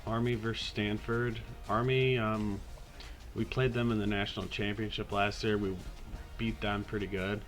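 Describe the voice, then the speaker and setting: Raspy, low-voiced man talking video games. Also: level tone, normal speed, fairly bright tone, faint music behind. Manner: laid-back, rambling, swears casually. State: hoarse, getting over a cold. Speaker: podcast host. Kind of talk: casual video game commentary.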